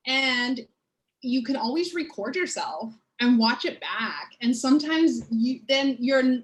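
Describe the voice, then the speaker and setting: A woman panelist on a video call, explaining training programs in a measured, conversational way. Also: high voice, expressive, rough recording. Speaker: panelist, learning program lead. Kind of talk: panel discussion.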